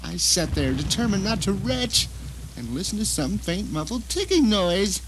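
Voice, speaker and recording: A man's voice: high-pitched, sing-song, moderate pace, background noise. An actor playing a bitter, deluded blind man who tells his story in a theatrical, self-righteous audio drama monologue. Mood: tense, sly storytelling.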